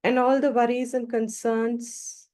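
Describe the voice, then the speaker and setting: A woman leading a guided meditation as a teacher, giving short, calm, measured instructions with long pauses between them. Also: on the high side.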